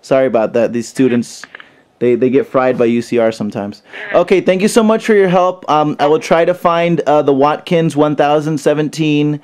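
A man's voice: on the high side, steady tone, darkish tone. Hesitant young man on a prank call. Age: young adult. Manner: hesitant, halting, repeats words. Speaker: prank caller. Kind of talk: prank phone call, feigning confusion. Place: small bedroom, indoors.

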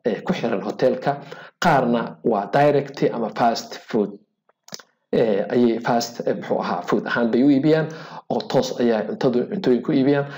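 A man teaching a hotel management program over a screen recording, speaking haltingly with many filler sounds and English technical terms mixed in. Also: mid-range voice, darkish tone. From Somalia. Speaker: software instructor. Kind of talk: screen-recorded software tutorial.

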